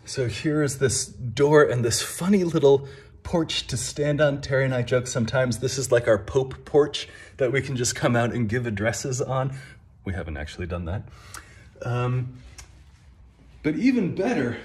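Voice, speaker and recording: A man's voice: mid-range voice, normal speed. A man in his thirties, a minister, chatting casually as he walks through an old church building, filmed on a phone held at arm's length. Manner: chatty, relaxed tour-guide talk while walking. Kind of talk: casual walking tour. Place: old stone church building, indoors and on a roof.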